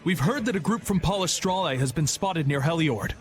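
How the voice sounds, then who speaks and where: An actor playing a proud, loyal, agitated guild fighter in a video game, with fervent, declamatory delivery. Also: male voice, on the high side, animated, fairly quick, lightly acted. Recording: music under the voice.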